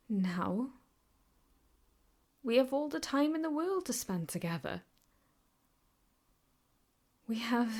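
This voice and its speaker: A woman playing an obsessive, possessive yandere ex-girlfriend in an improvised ASMR roleplay, speaking intimately and haltingly, often slipping into whispers. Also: on the high side, darkish tone, full character voice. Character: obsessive, possessive yandere ex-girlfriend holding her captive lover.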